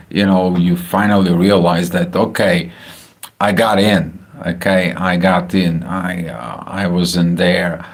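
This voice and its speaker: A man with a Bulgarian accent talking casually about astrology on a livestream, halting with many filler sounds and restarts. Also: deep voice, vocal fry. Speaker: astrology channel host. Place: home, house in Spain.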